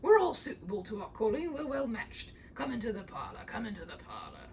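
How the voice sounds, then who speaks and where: A woman's voice: mid-range voice, wildly swooping pitch, a little fast, darkish tone, distant mic, slight background noise. Woman acting a jovial old rascal junk dealer. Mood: jovial, welcoming. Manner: jovial, rambling, exclamatory. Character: grey-haired old rascal man, jovial junk dealer near seventy.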